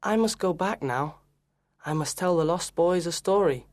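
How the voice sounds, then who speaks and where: A woman's voice: on the low side, normal speed, darkish tone, lightly acted. A woman voicing Peter Pan, an eager young boy, in a storybook reading for learners.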